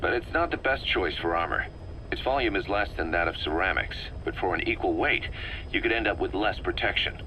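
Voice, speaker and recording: A man's voice: low voice, a little fast, pushed and bright, slight background noise, low-quality recording. A man voicing a knowledgeable technical advisor explaining weapons technology in a video game.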